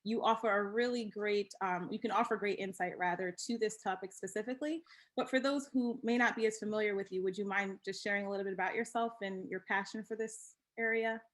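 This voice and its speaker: A woman's voice: mid-range voice, fairly even intonation, a little fast. A woman hosts and moderates a webinar conversation in a polished, welcoming, scripted manner. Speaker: host and moderator.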